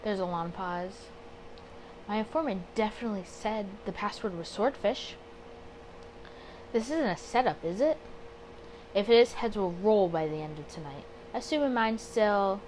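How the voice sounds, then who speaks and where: A woman's voice: medium pitch, normal speed, long pauses, darkish tone, rough recording. A woman hosting a casual let's play, chatty and rambling, stopping to correct herself and reading visual novel text aloud. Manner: chatty, rambling, self-interrupting and apologetic. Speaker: host of a gaming channel. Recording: home recording with background noise.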